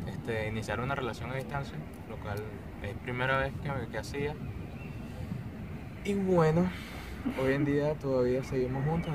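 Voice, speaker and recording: A young man with a Venezuelan accent telling his story hesitantly, often restarting. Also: mid-range voice. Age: young adult. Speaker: interview guest. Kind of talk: casual storytelling. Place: outdoors on stone steps of an ancient theatre. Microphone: camera mic from a few meters away. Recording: distant outdoor camera audio with street noise.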